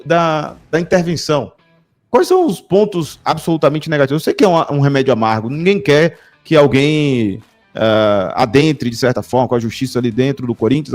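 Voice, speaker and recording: A man from Bahia, Brazil, hosting a channel and asking long, rambling questions in casual talk. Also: mid-range voice. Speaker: channel host. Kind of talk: casual discussion.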